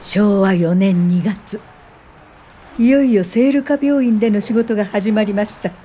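A woman's voice: medium pitch, unhurried, warm tone, lightly acted. An older woman acting as an earnest social worker who narrates her own life in a radio drama. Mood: reflective. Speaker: narrator and lead actor.

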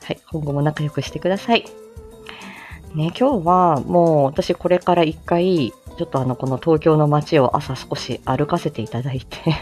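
Low-pitched, mellow-voiced woman chatting. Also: normal speed, faint music behind. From Japan, outside Tokyo. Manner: polite, chatty, giggly. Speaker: livestream host, amateur voice drama performer. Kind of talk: casual morning livestream chat.

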